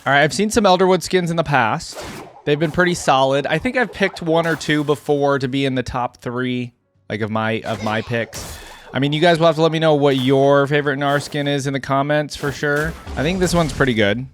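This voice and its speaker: A man hosting a gaming reaction video, commenting casually off the cuff and thinking out loud with easy surprise. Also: fairly high pitch, a little fast, faint music behind. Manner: easily amused, thinks out loud, exclaims with surprise.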